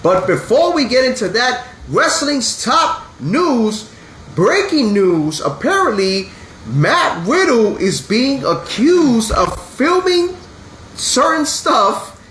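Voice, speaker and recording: A man's voice: very high-pitched, big pitch swings, takes it slowly, fairly bright tone. A man in his thirties hosting a casual wrestling podcast, sounding congested from a sinus infection and talking in an earnest, blunt way that turns to swearing when he gets upset. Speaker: podcast host. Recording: echoey room with faint hum.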